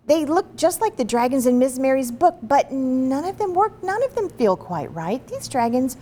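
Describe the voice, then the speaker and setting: Animated woman reading a picture book aloud. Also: sits high, normal speed, slightly rough. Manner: expressive, chatty, encouraging. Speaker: story reader.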